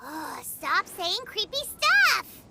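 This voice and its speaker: A woman's voice: extremely high pitch, huge pitch swings, drawn-out words, gravelly, loud music behind the voice, exaggerated voice. A woman voicing a small, easily spooked fairy companion in a video game, childlike, blunt and whiny.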